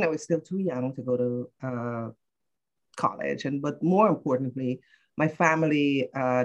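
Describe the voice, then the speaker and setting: A woman in her fifties from Guyana, a panel guest telling her life story in a measured, reflective way with frequent "uh" pauses. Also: very deep voice.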